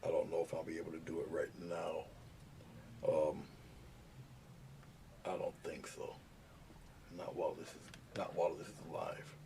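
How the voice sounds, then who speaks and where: A man with a very low, gravelly voice mumbles softly as he paints live for his viewers. He does not project, and he is picked up by the camera's own mic at a low volume. A clear hiss runs under everything. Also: completely flat delivery, moderate pace, pauses often. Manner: soft-spoken, mumbling asides while working. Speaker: painting livestream host. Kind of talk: casual live painting tutorial. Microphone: camera's built-in mic, not the lapel mic. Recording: noisy, low-volume webcam audio.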